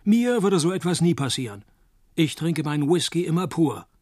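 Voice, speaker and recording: An actor playing a cynical, wisecracking male private detective in a radio play, narrating and talking in a dry, laconic deadpan. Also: a little high, moderate pace, dry recording. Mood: dry, sardonic.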